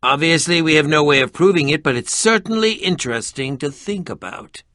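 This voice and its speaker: A close, dry voice of an eccentric middle-aged male adventurer from a video game. He is pitched high and swings widely between notes. He speaks with pompous, theatrical formality, prickly yet full of curiosity. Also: expressive, fairly quick, bright, forceful tone, dry recording. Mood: pompous, musing.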